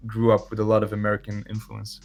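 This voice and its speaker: A man's voice: on the low side, monotone, fast, vocal fry, a little mellow, soft background music. A young man from Belgium with a Belgian Dutch-speaking accent, a guest musician talking casually and hesitantly, with many fillers and restarts. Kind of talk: casual podcast interview.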